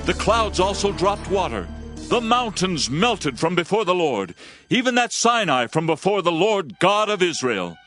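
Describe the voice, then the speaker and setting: An actor playing an earnest military leader of ancient Israel, declaiming archaic scripture in a dramatized reading. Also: male voice, high-pitched, sing-song, unhurried, fairly bright tone, faint music behind, full character voice. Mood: exalted.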